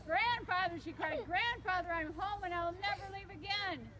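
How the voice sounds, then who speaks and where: A woman's voice: extremely high pitch, normal speed, crowd noise. A woman reading a children's storybook aloud with casual asides, encouraging her co-reader along. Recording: very noisy recording with clicks, animals nearby. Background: animal noises, donkey and goats around.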